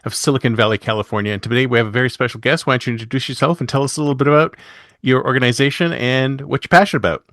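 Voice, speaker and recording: Man, podcast host, mid pitch, relaxed chatty delivery. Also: racing. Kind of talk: casual interview chat.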